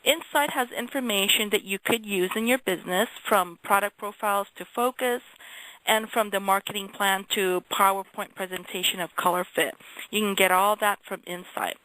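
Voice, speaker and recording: A woman with a bright, sharp voice at a middling pitch gives an informational briefing as a company representative. She explains point by point, a little hesitantly, in a dry close sound. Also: moderate pace, no echo, low-quality recording. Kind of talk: informational question-and-answer briefing.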